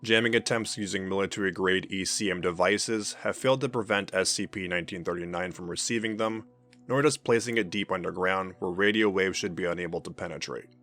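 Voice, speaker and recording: Male narrator, lower register, formal measured read of a clinical fiction report, light music bed. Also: a bit bright.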